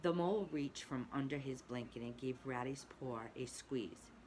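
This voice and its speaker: A woman's voice: a bass voice, normal speed, a little mellow, a little hiss or hum. A woman who teaches preschool, reading a storybook aloud expressively and adding asides to the children. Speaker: pre-K teacher.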